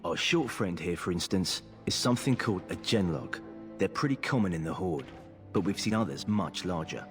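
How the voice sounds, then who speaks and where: A man's voice: low voice, animated, moderate pace. A man playing a seasoned, plain-spoken soldier in a fantasy video game, briefing in a matter-of-fact, cautioning way. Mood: grave, matter-of-fact. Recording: game music behind the voice.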